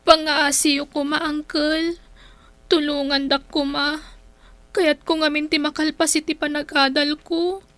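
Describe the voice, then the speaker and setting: An actress playing an earnest, pleading young daughter in a radio drama, with an Ilocano accent. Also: female voice, sits high, level tone, a little slow, a bit bright, no room sound.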